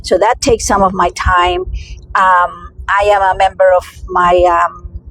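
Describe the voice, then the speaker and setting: A woman's voice: lowish voice, steady tone, a little slow, fairly bright tone, a little hiss or hum, rough recording. A middle-aged woman with a Spanish accent, talking in a casual personal vlog and rambling through many restarts and fillers. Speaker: vlogger.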